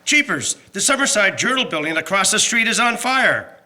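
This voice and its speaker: A man's voice: high-pitched, big pitch swings, normal speed, heavy background noise. A man playing an alarmed hotel guest, exclaiming in agitation in a radio drama. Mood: panicked.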